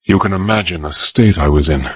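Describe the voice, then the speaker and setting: A gentleman adventurer in a dramatized thriller. His husky, fairly deep voice is grave and confiding, with a tense edge suited to dangerous undercover work. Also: a little fast, lo-fi sound. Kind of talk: dramatized first-person narration.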